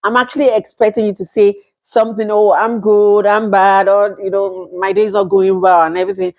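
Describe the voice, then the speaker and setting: Nigerian woman, 30s, casual vlog host, talkative with easy laughs, mid-pitched voice. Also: little pitch movement, a little fast, darkish tone. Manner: chatty, laughs often, acts out quoted exchanges. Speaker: vlogger. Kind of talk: casual talk to camera.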